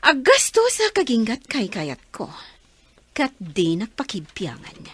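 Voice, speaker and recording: A haughty, scornful Filipino rich wife in a radio drama. Her mid-pitched voice swings widely as she defies and dismisses, recorded dry and close. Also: wildly swooping pitch, unhurried, fairly bright tone, breathy edge, no echo, exaggerated voice. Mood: haughty, defiant.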